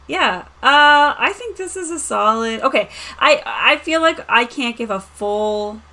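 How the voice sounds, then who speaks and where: A woman's voice: on the high side, lively intonation, unhurried, bright, forceful tone. A woman hosting a casual roundtable review, talking informally and with enthusiasm. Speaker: host and reviewer.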